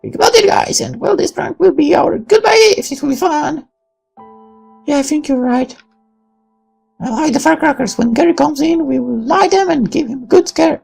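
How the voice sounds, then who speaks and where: A sweet, playful young girl from a visual novel, acted out by a man in a very high, swooping voice. Faint music plays behind the lines. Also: animated, normal speed, vocal fry, darkish tone, full character voice. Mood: playful, scheming. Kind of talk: visual novel character read-aloud.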